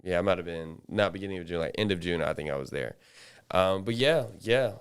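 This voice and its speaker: A young man hosts a relaxed podcast alongside his co-host. His voice is low and smooth, with a clear, resonant tone. He rambles, restarting sentences as he goes. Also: fairly quick. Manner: rambling, many restarts and fillers. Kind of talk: casual chat.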